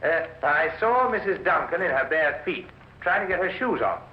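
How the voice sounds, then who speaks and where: An actor playing an earnest, hesitant British civilian witness helping police, in a 1950s radio drama with an echoey, hissy sound. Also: male voice, on the high side, animated, normal speed, lo-fi sound, close to an everyday voice. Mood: earnest, recounting.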